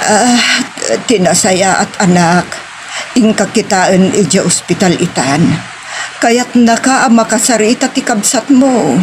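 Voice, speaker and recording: A woman's voice: medium pitch, measured pace, noisy background, natural voice. A woman with a Filipino accent playing a weary, sorrowful older mother in a family audio drama, speaking plaintively. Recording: music under the voice.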